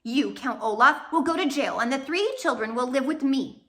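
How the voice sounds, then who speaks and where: A narrator plays a kindly woman judge in a children's storybook. She speaks carefully and earnestly, weighing her words. Her voice is bright and a little high. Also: lively intonation, normal speed. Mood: indignant. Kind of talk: storybook character voice.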